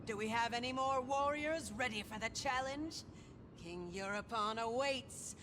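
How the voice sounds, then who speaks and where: A woman's voice: fairly high pitch, measured pace, background noise, natural voice. A woman playing a haughty, cruel trial announcer in a video game, theatrical and grandiose with a mocking edge. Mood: grandiose, mock pity. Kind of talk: video game character dialogue.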